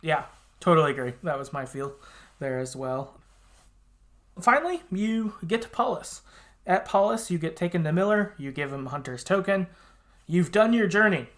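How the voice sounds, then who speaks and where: A man's voice: fairly high pitch, expressive, normal speed, a bit bright. Man casually retelling a story, loose and sweary. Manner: informal and sweary, paraphrasing characters in loose colloquial asides. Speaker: podcast host.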